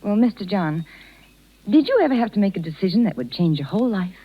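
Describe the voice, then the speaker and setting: A woman's voice: medium pitch, animated, fast, breathy edge. An American actress playing an earnest, tender young woman circus rider in a 1930s radio drama. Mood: wistful. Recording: muffled 1930s radio broadcast recording.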